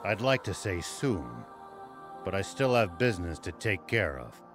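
A man playing a gruff, serious old sheriff and veteran soldier in a video game, speaking in a measured, weary way. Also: low voice, sing-song, fairly bright tone, soft background music. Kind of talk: video game cutscene dubbing.

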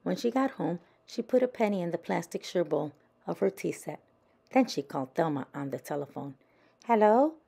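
A woman storyteller with a lowish voice and some creak in it. She reads a children's picture book aloud for young listeners. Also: fairly quick, mellow.

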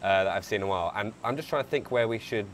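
A young man commentating a live game stream on a headset, chatting, reading viewer messages aloud and getting excited in bursts. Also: fairly low pitch, racing, a loud crowd.

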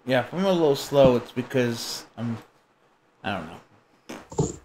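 A man's voice: medium pitch, very animated, measured pace, background noise. A man streaming a video game, chatting casually with crude, joking banter while he plays. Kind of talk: casual gaming chat.

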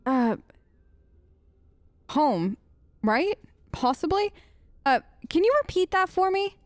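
A woman's voice: high voice, very animated, takes it slowly, no echo, studio quality. A woman doing casual role-play gaming commentary as a let's play host, with a hesitant, wry manner.

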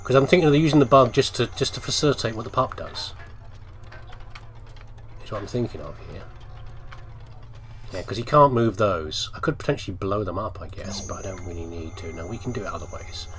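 Man with very lively pitch, gaming commentary. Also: brisk, pauses often, slight background noise. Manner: rambling, thinking aloud, talking to himself. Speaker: gamer commentating on playthrough. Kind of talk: casual gameplay commentary.

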